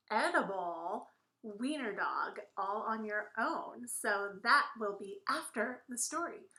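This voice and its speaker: A woman with a fairly high voice reads a children's story at an unhurried pace. She is expressive and speaks straight to her young listeners. The recording has a clear roomy echo. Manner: expressive, addresses young listeners directly with asides. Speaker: children's author reading her picture book. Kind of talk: animated children's story read-aloud.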